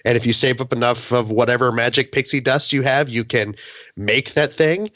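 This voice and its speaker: A man's voice: medium pitch, fairly even intonation, normal speed, a bit bright, dry recording, highs cut off. A man in a casual gaming podcast discussion, speaking in an emphatic, opinionated and hyperbolic way. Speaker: podcast panelist.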